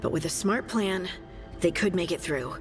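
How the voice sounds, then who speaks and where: An actress playing a practical, steady woman scout in a fantasy video game, with music behind her. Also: lowish voice, moderate pace, lightly acted. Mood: cautiously hopeful.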